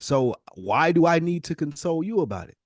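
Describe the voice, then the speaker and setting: A man's voice: fairly high pitch, lively intonation, brisk, no room sound, clean studio sound. A man co-hosting a podcast, giving his opinions casually in blunt, slangy talk with some swearing. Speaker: podcast co-host.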